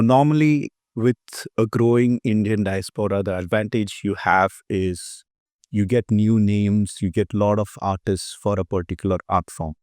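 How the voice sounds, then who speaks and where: Deep-voiced male interview host. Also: darkish tone, dry recording, studio quality. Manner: polite, welcoming, hesitant with ums. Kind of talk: hosting a conversational interview.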